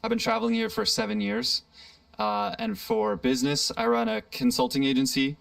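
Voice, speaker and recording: High, gravelly man, heard from afar. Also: normal speed, fairly bright tone, dry recording. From Florida, US. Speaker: interviewee, business consultant. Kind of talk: casual interview answers. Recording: distant, dry sound.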